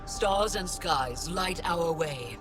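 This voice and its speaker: Diplomatic male alien leader, fairly high register, formal, welcoming, game drama with music. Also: lively intonation, slow, poor audio. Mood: gracious, formal. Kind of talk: dramatic video game acting.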